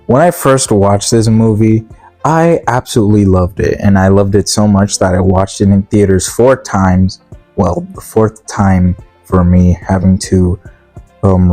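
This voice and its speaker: A man's voice: lowish voice, mellow, no room sound. A young man casually reviewing a video game movie, rambling and blunt with lots of fillers, his voice sounding tired and worn out.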